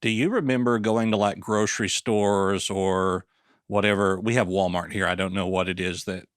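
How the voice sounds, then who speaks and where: Low-voiced Southern man hosting an interview. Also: fairly even intonation, moderate pace, clear, resonant tone, dry recording, studio quality. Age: 30s. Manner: chatty storyteller, acts out quoted voices. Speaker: podcast host. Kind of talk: casual interview chat.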